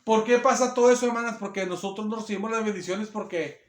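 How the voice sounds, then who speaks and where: A man's voice: high voice, fast talker, echoey room. A man preaching a sermon, with a strong voice that he holds back and then lets rise into emphatic bursts. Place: church.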